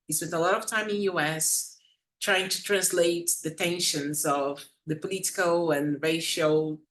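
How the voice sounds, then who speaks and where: A woman's voice: low-pitched, a bit bright. A woman in her late forties, not a native English speaker, speaking earnestly as a panelist in a webinar discussion, with frequent hesitant fillers. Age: 40s.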